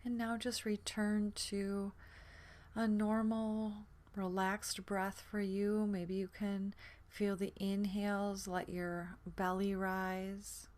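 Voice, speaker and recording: Slow, soft-voiced woman guiding sleep meditation. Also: mid-range voice, little pitch movement. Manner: soothing, gentle, unhurried with long pauses. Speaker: guided meditation instructor.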